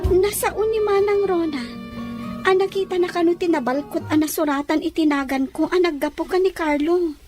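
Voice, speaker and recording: A woman's voice: very high-pitched, moderate pace, over music. A woman playing a sweet, respectful young daughter in an Ilocano-accented audio drama. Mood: worried.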